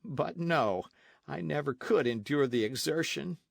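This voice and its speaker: A lazy young gentleman who drawls his words slowly and sighs at every exertion. A man voices him in a storybook reading. His voice is a little raised, with a gravelly edge and lively pitch, recorded dry and close. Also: no room sound, full character voice. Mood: languid. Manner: slow, sighing, mildly weary. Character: languid idle young male nobleman.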